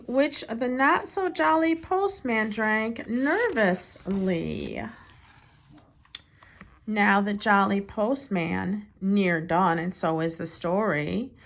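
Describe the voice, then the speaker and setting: A woman reading a children's picture book aloud with expressive, deliberate phrasing. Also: a little high.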